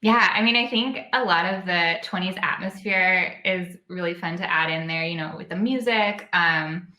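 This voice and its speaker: Echoey room. Female interview guest, lowish but very bright voice, informal and slightly hesitant. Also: normal speed. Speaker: podcast writer, guest. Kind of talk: casual interview talk.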